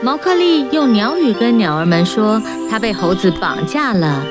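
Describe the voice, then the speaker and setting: Female storybook narrator for children, mid-range voice, scripted read with clear backing music. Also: medium pitch, animated, moderate pace.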